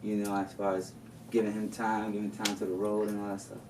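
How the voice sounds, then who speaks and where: A man with a Dominican accent, hosting a podcast interview in a relaxed, casual way, laughing easily. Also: lowish voice, fairly quick. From Dominican Republic. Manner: relaxed, laughs easily, lots of affirming backchannel. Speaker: podcast host. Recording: distant mic with faint noise.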